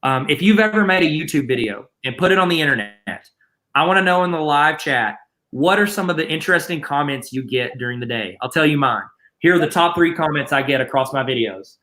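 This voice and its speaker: A man with a Southern accent walks teachers through the basics in a chatty, joking way. His voice sits a bit high and sounds bright and forward. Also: expressive, fairly quick. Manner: joking, chatty, self-deprecating asides. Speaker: guest presenter teaching teachers. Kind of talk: casual instructional webinar.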